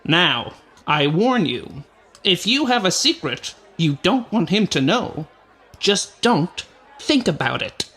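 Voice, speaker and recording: A man's voice: high-pitched, very animated, slow pace, a bit bright, slightly rough, heavily acted. A man playing a blustering nightclub boss and emcee in a radio play, bombastic and emphatic, with crowd sounds behind. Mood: grand, theatrical.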